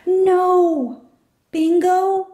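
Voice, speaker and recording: A woman's voice: very high-pitched, steady tone, very slow, dark tone, pitched above the performer's own voice, full character voice. A woman voicing an eager, confident little girl in a children's storybook, full of exclamations. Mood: alarmed, calling out. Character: excitable, confident little girl on a camping adventure.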